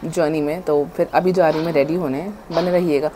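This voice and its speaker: A woman's voice: low voice, fairly even intonation, fairly quick, background noise. A woman in her thirties chatting casually to camera as a vlogger, mixing Hindi and English, recorded with a lapel mic on a home patio. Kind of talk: casual vlog chat. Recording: background music.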